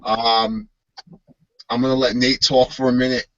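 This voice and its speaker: A man's voice: medium pitch, level tone, measured pace, no room sound, muffled recording. A man in his thirties hosting a live video call, friendly and upbeat. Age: 30s. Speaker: host.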